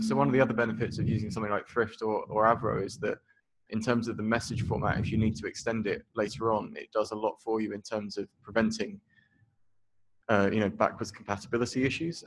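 A man in the audience asking a question at a tech meetup, loud-voiced. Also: on the low side, background noise. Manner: loud, fast, flat delivery. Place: meetup talk venue indoors.

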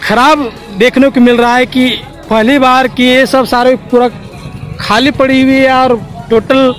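A man's voice: extremely high pitch, little pitch movement, measured pace, fairly bright tone, rough recording. A man giving casual market commentary as a vlogger, mixing English words into Hindi. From Jharkhand, India. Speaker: vlogger, host. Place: busy open-air village market. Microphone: handheld phone at arm's length. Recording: outdoor crowd noise with music behind.

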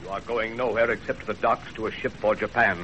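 A man's voice: fairly low pitch, steady tone, quick pace, a bit bright, natural voice. An actor playing a stern, wealthy Chinese banker father, commanding and urgent, in a 1930s radio drama. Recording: noisy old 1930s radio recording.

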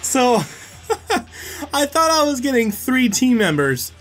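Animated man commenting on a game. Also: very high voice, slow, soft background music. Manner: exclamatory, informal, swearing. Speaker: gaming video host. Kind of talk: casual game playthrough commentary.

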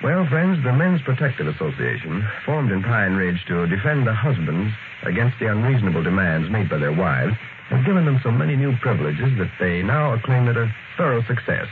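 A man's voice: fairly low pitch, very animated, fairly quick. An American man announcing in polished, formal vintage radio style, reading a scripted script as the programme's presenter. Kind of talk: 1930s-40s radio announcing, scripted ad and narration. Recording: old radio broadcast, narrow band, noisy.

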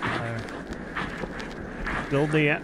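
A man's voice: mid-range voice, steady tone, extremely slow, heavy background noise. A man in his twenties giving casual reaction commentary into a close desk microphone indoors. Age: 20s. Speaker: reaction video host. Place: bedroom streaming setup indoors.

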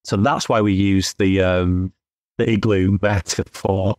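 A man's voice: sits low, steady tone, unhurried, a little mellow, no echo, studio quality. A man appearing as an expert guest, explaining his ideas methodically with worked examples. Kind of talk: explanatory interview talk.

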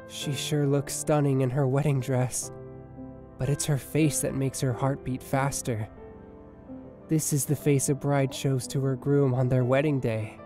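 A man acts out a lovestruck cartoon fox, tender and earnest. He takes his time, halting in awe between phrases. Soft music plays under his words. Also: a little high, lively intonation. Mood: tender devotion. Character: tender, lovestruck male fox, dreamily awed groom. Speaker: voice actor in a comic dub. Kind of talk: dramatic comic dub monologue.

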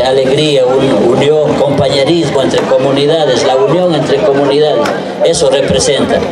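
Ecuadorian guy doing a town ceremony speech, sounds far off, big crowd noise behind. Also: fairly high pitch. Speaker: local government official. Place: covered outdoor stage in a public square. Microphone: microphone on a stand, heard through PA. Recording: echoey, distant PA with loud crowd.

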